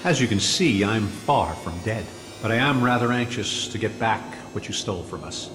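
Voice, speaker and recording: An actor playing a calm, smug rogue scientist in an audio drama, speaking with measured, mocking politeness. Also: male voice, fairly low pitch, natural voice. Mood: quietly threatening.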